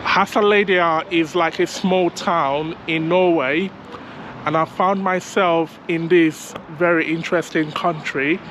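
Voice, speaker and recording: A hoarse man with a sore throat chats through a travel vlog while walking city streets, pausing often with ums. His voice sits a little high and his pace is very slow and halting. Faint traffic hum runs behind him. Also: fairly even intonation, fairly bright tone. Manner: hesitant, many ums, thinking aloud. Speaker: travel vlogger.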